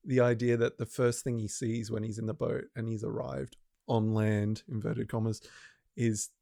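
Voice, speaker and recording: A man's voice: on the low side, flat delivery, moderate pace, vocal fry, dark tone, no echo, clean studio sound. A man, chatting casually as a podcast co-host. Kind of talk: casual chat.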